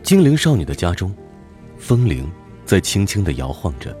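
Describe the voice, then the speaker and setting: A man's voice: low voice, huge pitch swings, normal speed, mellow, soft background music. A man narrating a scripted audio drama in a dramatic storytelling style.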